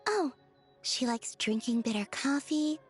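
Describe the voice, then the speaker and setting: An actress voicing a gentle, dreamy young woman who speaks in a soft, breathy near-whisper. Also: sits high, expressive, a little slow, soft background music, exaggerated voice. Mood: tender, earnest. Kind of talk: game voice acting.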